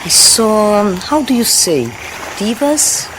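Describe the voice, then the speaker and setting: An actress playing an Amazon-born guide in an audio drama, wistful, playful and sincere, with a Brazilian accent. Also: female voice, mid-range voice, expressive, slow pace, noisy background. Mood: wondering, teasing. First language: Portuguese.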